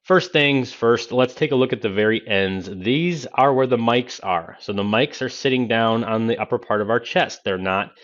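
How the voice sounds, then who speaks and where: A man's voice: mid-range voice, normal speed, few pauses, fairly bright tone. A man, giving a casual product demo and explaining things step by step. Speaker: presenter of product review videos. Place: small indoor office. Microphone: Bluetooth headset microphone. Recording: dull lo-fi headset sound.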